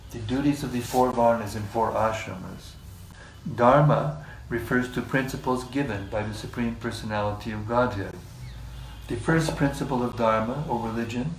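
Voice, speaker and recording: A man leading a scripture class, reading passages in a measured way and joking with the group between them. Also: medium pitch. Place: room with a live group. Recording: echoey room, distant mic, faint hiss.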